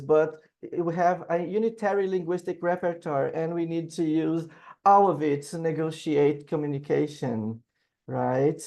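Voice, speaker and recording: A man's voice: a little high, warm tone. Brazilian man giving a webinar talk. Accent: Brazilian Portuguese. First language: Brazilian Portuguese. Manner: explanatory, often checks in with "right?". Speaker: teacher and panel speaker. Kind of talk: webinar presentation.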